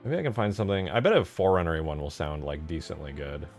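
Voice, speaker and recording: A man's voice: a bass voice, fast talker, a little mellow, soft background music. A man giving casual, informal commentary while modding a game, muttering and thinking aloud to himself. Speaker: video game modder.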